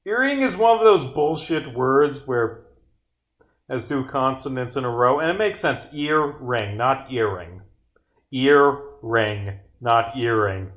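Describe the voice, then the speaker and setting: A man with a mid-pitched voice talks to himself on a casual livestream. He reads bits aloud as he works and grumbles in sweary asides. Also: expressive, takes it slowly, a bit bright. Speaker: streamer, game developer. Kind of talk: casual livestream muttering while reading and bugfixing script aloud. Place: indoors at a computer, streaming.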